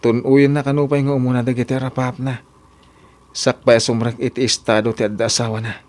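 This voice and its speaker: A man's voice: medium pitch, flat delivery, fairly quick, darkish tone, faint music behind, natural voice. An actor with an Ilocano accent playing an earnest, reserved young working man in a radio drama. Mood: weary, confiding.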